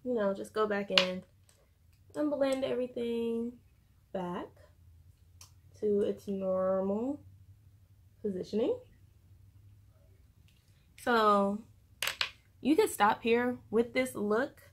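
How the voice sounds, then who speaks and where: A woman's voice: on the high side, takes it slowly, long pauses. Chatty young woman with background noise. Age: young adult. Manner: informal, slangy, chatty. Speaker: beauty vlogger. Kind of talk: casual makeup tutorial chat. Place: bedroom, indoors. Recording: noticeable room noise.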